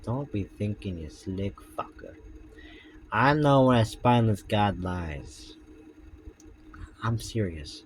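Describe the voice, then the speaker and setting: Guy doing a gruff low pirate fox robot, grumbly, hard on the outside soft inside, faint background hum. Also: expressive, slow pace, long pauses, poor audio. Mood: scornful. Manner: growling, insulting, low and quiet. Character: gruff pirate fox animatronic, tough but soft-hearted.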